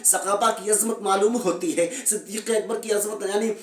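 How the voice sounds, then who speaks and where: Echoey, higher-pitched man, earnest. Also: fairly even intonation, moderate pace. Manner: earnest, repeats phrases for emphasis. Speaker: Islamic religious commentator addressing viewers. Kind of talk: informal monologue of religious commentary and admonishment. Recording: echoey room, distant microphone.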